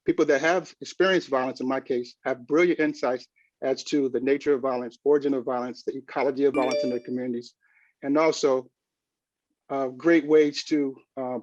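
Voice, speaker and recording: A man speaking on a panel discussion in a halting way, with many filler words. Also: medium pitch, highs cut off. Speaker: panelist, academic. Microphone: video call.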